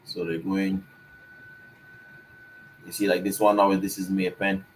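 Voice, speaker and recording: A man's voice: low voice, little pitch movement, a little slow, a little hiss or hum, poor audio. A man, co-hosting a live podcast stream in a laid-back, casual way. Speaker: podcast co-host.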